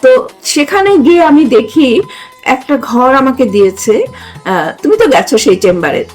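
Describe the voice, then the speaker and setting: A woman's voice: high voice, very animated, moderate pace, darkish tone, soft background music. An older woman with a Bangladeshi accent hosting a conversational discussion, emphatic and often repeating herself to seek agreement. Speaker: talk show host, retired judge.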